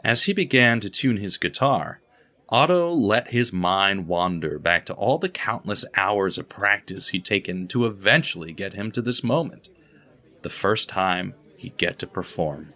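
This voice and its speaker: A man narrating an audio drama with expressive, steady storytelling. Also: deep voice, unhurried. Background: guitar music.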